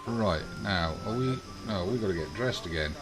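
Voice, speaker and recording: A man's voice: lowish voice, expressive, normal speed. A man giving laid-back, casual commentary while playing a game, thinking aloud. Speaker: gaming streamer. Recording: commentary over game music and rain. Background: game music, rain sounds.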